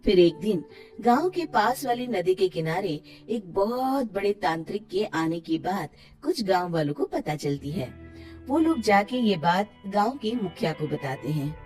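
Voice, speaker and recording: A woman narrating a cartoon story in an expressive storybook style. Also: medium pitch, measured pace, faint music behind.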